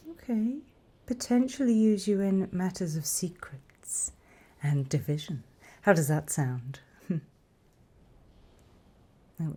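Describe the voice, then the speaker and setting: A woman voicing a sly, mocking demon in an ASMR roleplay, with a British accent and a breathy, husky voice that often drops to a whisper. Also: lowish voice, a little mellow, exaggerated voice. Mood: coaxing, interrogating. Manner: teasing, condescending, unhurried. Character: sly, mocking female demon who trades in purchased souls.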